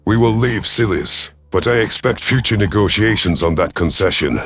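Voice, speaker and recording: Man voicing a deep, husky alien predator commander. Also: lively intonation, a bit bright, exaggerated voice. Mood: imperious, threatening. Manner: imperious, menacing, deliberate. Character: cold, calculating alien reptilian predator commander, male. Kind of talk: dramatic first-person sci-fi audio story.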